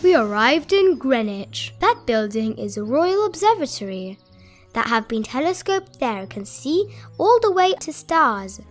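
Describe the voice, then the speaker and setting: An encouraging train driver from a children's story read by a woman explains the sights with good humour. The voice is fairly high and lively, moving at a slow pace. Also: soft background music. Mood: encouraging, amused.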